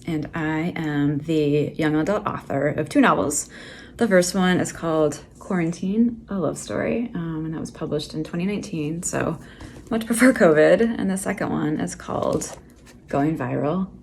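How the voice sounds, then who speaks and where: A woman author posing a trivia question about her novel in a relaxed, plain way. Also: low-pitched, vocal fry. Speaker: young adult author. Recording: faint background noise.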